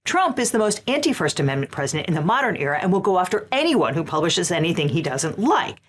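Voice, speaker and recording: A woman's voice: on the low side, big pitch swings, quick pace, slight background noise. A woman lawyer hosting the show, delivering scripted legal commentary in a wry, sardonic way.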